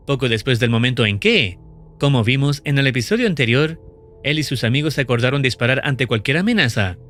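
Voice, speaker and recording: A male narrator reads a scripted story recap in a steady, measured way. His pitch moves up and down with real liveliness while staying in a middle register. Faint music plays quietly beneath the voice-over. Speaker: video narrator explaining a horror series.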